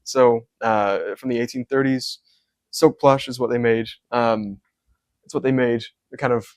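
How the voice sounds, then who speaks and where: Young man, Scottish-born hat maker; soft-spoken, flat and even, lots of ums, dry close sound. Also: mid-range voice, unhurried, no room sound. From Scotland. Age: young adult. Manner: soft-spoken, many ums, detailed explanations. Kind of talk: casual interview.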